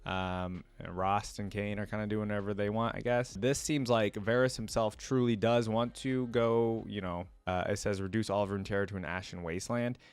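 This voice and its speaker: A man's voice: on the low side, steady tone, moderate pace, few pauses, clean tone. A man hosting a reaction video, reading lore aloud and musing over it in casual commentary. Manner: musing, thinking out loud.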